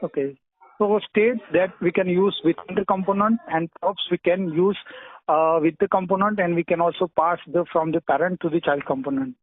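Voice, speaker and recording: A man with an Indian accent answering technical interview questions over the phone, hesitant and halting, often clearing his throat. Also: high-pitched, no room sound. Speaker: job candidate being interviewed. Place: phone call. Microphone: telephone. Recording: tinny narrowband telephone line.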